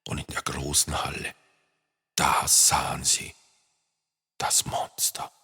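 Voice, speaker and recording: A man's voice: rumbling low, monotone, slow pace, vocal fry, bright, forceful tone, slightly rough. A man narrating a children's story expressively and playfully, speaking straight to young listeners. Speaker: author and storyteller. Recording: long reverb.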